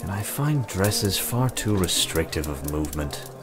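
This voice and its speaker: Casting: man as solemn, formal fantasy warrior, very low voice, earnest deadpan, music underneath. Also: a little slow. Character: solemn, literal-minded adult male guard turned reluctant lord. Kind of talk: visual novel character acting.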